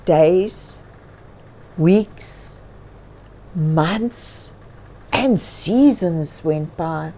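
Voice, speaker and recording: A woman storyteller reads a picture book to children in a mellow, slightly low voice. She takes her time and adds expressive emphasis, with little asides to her young listeners. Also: very slow, long pauses. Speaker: children's read-aloud storyteller.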